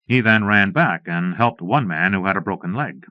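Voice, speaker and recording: A man's voice: sits low, moderate pace, no room sound. A man reading scripted Western stories and history as an audiobook narrator. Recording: dull lo-fi cassette transfer.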